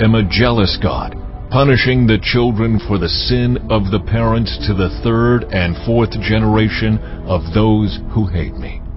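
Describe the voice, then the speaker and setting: Man playing a slow, deep, commanding God. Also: close to an everyday voice. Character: God, solemn male deity proclaiming commandments. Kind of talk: dramatized scripture reading. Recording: dull sound with music behind. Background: music.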